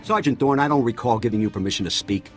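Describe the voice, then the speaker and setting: A man's voice: medium pitch, quick pace, soft background music, natural voice. A man playing a sarcastic, dismissive army colonel who grumbles about orders. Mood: scornful. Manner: sardonic, grumbling. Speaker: regimental colonel.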